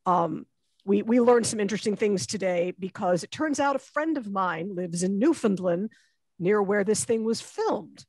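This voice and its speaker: A woman's voice: mid-range voice, lively intonation, normal speed, darkish tone, no room sound. A middle-aged woman co-hosting a podcast, casually discussing films on a headset mic. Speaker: podcast co-host. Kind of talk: casual, unscripted film discussion. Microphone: headset on a video call.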